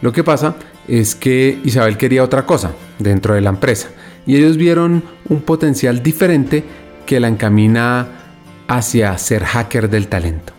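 Man narrating over soft guitar music. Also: mid-range voice, normal speed. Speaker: narrator and host. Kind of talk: scripted narration.

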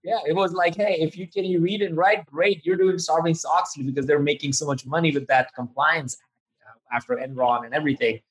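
Play a podcast host, a man whose voice sits a bit higher than most. He talks casually and eagerly, tossing in slang like he's catching up with a friend. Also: fairly even intonation, fairly quick, breathy edge, rough recording. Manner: enthusiastic and informal, says "dude". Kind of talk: casual interview chat.

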